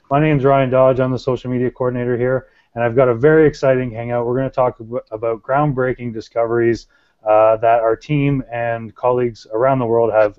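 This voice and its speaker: A man hosts a live video hangout from an office, pausing often with fillers and restarting words. His voice sits in a middle pitch, and the sound is dull and lo-fi, like an online call. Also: no room sound. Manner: hesitant, many fillers and restarts. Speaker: museum social media coordinator, host. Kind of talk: casual live video chat hosting. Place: office at a museum.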